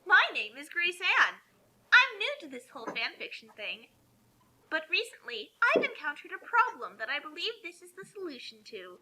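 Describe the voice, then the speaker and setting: A woman's voice: very high voice, pushed and bright, exaggerated voice, low-quality recording. A woman voicing a naive, sugary, pious mother who gushes as she reads out her author's note. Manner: sugary, exclamatory, earnestly silly. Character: gushing, naive, pious young mommy author.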